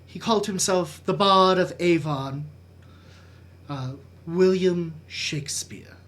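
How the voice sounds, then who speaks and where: A reader presenting dramatic poetry at a very slow pace. The delivery is deliberate and theatrical, with a middling pitch. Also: a bit bright.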